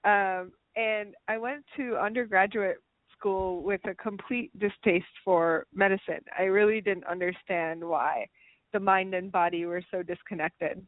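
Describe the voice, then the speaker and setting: A woman in her thirties, a naturopathic doctor, telling her story as a guest in a relaxed, conversational way. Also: mid-range voice, unhurried, dry recording. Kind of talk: radio interview. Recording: dry phone-like audio.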